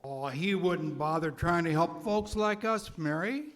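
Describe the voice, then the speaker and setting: A man's voice: fairly high pitch, lively intonation, unhurried, a bit bright. A man playing a plain-spoken, doubtful street beggar in a 1930s radio drama, with folksy, slangy speech. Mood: wondering.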